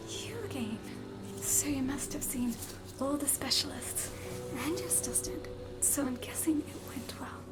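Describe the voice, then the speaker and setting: An Australian woman performing ASMR roleplay in a soft, gently questioning manner. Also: high voice, measured pace, low-quality recording. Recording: whispered voice under loud music. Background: loud music.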